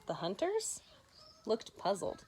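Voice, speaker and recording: A woman's voice: medium pitch, takes it slowly, husky. A woman teacher reading a picture book aloud to children, narrating expressively with conversational asides. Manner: animated narration with conversational asides to young listeners.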